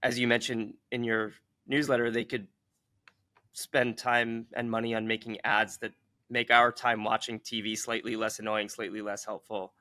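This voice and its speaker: A man working as an interviewer, speaking in a measured, articulate way as he builds up long, considered questions. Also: fairly low pitch, little pitch movement, pushed and bright, no room sound, studio quality.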